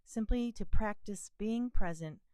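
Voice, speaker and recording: A woman gently guiding a body scan meditation in a calm, soothing manner, leaving pauses between phrases. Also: mid-range voice, clear, resonant tone, no room sound. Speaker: meditation teacher.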